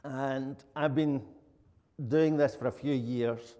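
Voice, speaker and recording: A middle-aged Scottish man from Glasgow speaks with a Glaswegian accent at a medium pitch. He presents in an informal, plain-spoken way as a conference speaker. His voice rings with the long reverb of a hall. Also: fairly even intonation, slow pace, warm tone. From Glasgow, Scotland. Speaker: conference speaker, lead software architect. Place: conference room, indoors.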